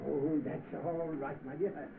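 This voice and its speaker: An actor with a British accent playing a scheming, lecherous rich gentleman in an old film, pompous and oily. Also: on the high side, steady tone, slow pace, vocal fry. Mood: oily, coaxing. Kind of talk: vintage film acting. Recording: tinny, noisy old film soundtrack.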